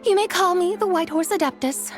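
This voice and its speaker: A woman voicing a courteous, mysterious young woman with formal, gentle speech in a video game. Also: very high-pitched, a little fast, faint music behind. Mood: curious, composed. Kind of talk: video game character dialogue.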